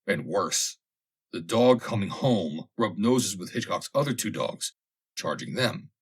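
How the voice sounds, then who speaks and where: Low-voiced, husky man reading a story. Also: sing-song, unhurried. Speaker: audiobook reader. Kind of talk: scripted narration of a comic vintage story.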